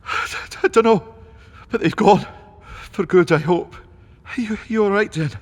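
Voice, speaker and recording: An actor playing a devoted, earnest husband in an audio drama, halting and matey in his speech. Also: high voice, lightly acted. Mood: shaken, tender. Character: warm, devoted husband and manservant, shaken but grateful.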